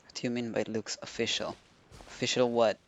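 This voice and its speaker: A man's voice: mid-range voice, flat delivery, brisk, poor audio. A man streaming a game, giving casual playthrough commentary and reading dialogue aloud.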